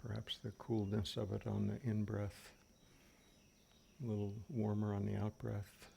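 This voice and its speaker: A man leading an unscripted guided meditation as a teacher, speaking gently and unhurriedly with long pauses. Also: lowish voice, gravelly. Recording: steady background noise of fans and birds. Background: fans, birdsong and insects.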